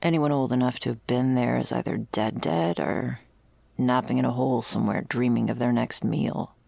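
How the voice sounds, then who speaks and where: A heavily fried, gravelly female voice, very close and dry from a woman acting out the part. She is a world-weary servant of vampires in an ASMR roleplay, explaining things with sardonic, casually profane wit. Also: rumbling low, fairly even intonation, moderate pace, dark tone, dry recording, full character voice. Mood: wry, cynical. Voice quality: very creaky, gravelly. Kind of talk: ASMR roleplay, intimate scripted monologue.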